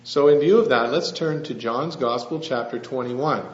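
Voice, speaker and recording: Soft-spoken preacher in an echoing hall. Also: male voice, mid-range voice. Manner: soft-spoken, earnest, with frequent "uh" pauses. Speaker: missionary preacher. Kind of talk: sermon. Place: church hall. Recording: roomy lo-fi church recording with faint hum.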